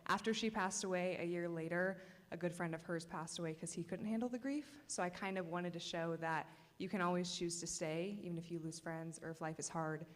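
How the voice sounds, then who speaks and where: A woman's voice: fairly low pitch, steady tone, a little fast. A woman filmmaker speaking earnestly and a little nervously at a festival Q&A on a theater stage. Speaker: filmmaker guest. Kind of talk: answering questions at a festival Q&A. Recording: reverberant hall with audience.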